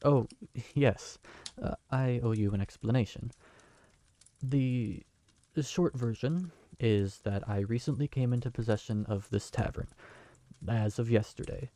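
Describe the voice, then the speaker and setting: A man's voice: mid-range voice, measured pace, dark tone, close to an everyday voice. A man playing a young man who just inherited a magical tavern, speaking in a polite, earnest, slightly flustered way. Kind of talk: fantasy audio drama acting.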